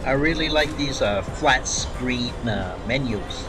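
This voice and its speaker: A man filming a casual food vlog in a fast food restaurant, chatting with enthusiasm and plenty of fillers. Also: mid-range voice, lively intonation, music in the background. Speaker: food vlogger.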